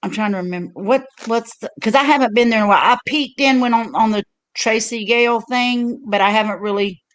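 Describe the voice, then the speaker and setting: A woman's voice: on the high side, fairly quick. An older woman hosting her own livestream, telling stories in a loose, chatty way with lots of fillers and restarts. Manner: rambling storyteller with many fillers and restarts. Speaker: livestream host. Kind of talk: casual chat.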